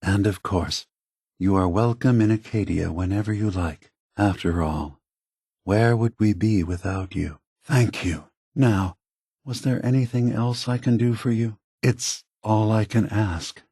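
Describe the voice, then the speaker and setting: Slow, weary, solemn android leader. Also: male voice, fairly low pitch, mellow, breathy edge, no room sound, clean studio sound. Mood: calm, grateful. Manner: measured, grave, diplomatic. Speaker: video game character. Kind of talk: scripted game dialogue.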